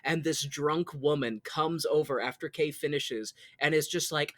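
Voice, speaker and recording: A man's voice: a little high, a little slow, dry recording, clean studio sound. An American man co-hosting a casual podcast, joking expressively and swearing freely. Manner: jokey, expressive, profane. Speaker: podcast co-host. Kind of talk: casual chat.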